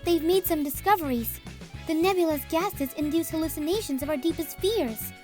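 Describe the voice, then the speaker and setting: A woman's voice: very high-pitched, moderate pace, over music. A woman voicing a polite, dreamy, matter-of-fact alien crew member in a comic dub. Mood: calm, earnest.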